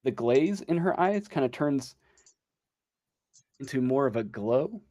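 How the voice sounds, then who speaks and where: A man improvising narration as game master of a tabletop roleplaying game, often pausing mid-sentence as he goes. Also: medium pitch, lively intonation, warm tone, no room sound, clean studio sound.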